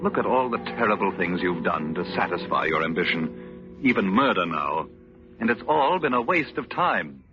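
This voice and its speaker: An actor playing a sardonic crippled husband with political ambitions, speaking with formal, mocking politeness in 1940s radio drama style. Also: male voice, low voice, very animated, moderate pace, music in the background. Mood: bitter, taunting. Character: bitter, sardonic crippled husband running for governor. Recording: dull vintage radio recording, faint hiss.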